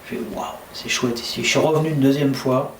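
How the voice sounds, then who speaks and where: A middle-aged man from France, a farmer telling a relaxed, anecdotal story in casual conversation. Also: mid-range voice, fairly quick. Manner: easygoing storyteller, frequent fillers. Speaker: interviewee, distillery farmer. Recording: roomy and distant, faint background noise.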